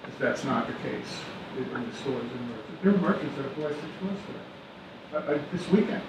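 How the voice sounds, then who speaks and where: A man's voice: medium pitch, expressive, normal speed. Man making a suggestion, echoey distant mic. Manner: persuasive, hedging with fillers. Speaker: resident commenting. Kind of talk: public comment. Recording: echoey room, distant, noisy.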